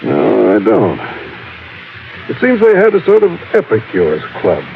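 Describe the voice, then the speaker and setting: An actor playing a precise, courteous small-town lawyer in an old-time radio drama, speaking in a careful, slightly fussy manner. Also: male voice, fairly low pitch, huge pitch swings, measured pace, gravelly, full character voice. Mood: courteous, helpful. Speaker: supporting actor. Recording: phone-like old radio sound, faint noise.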